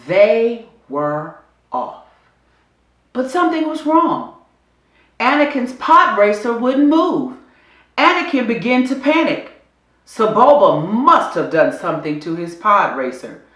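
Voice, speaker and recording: A woman reads an adventure story for children in an echoey room. Her voice is bright and clear-cut in its storytelling, with lively swings in pitch. She takes her time, delivering the scripted narration with deliberate drama. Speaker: storybook reader.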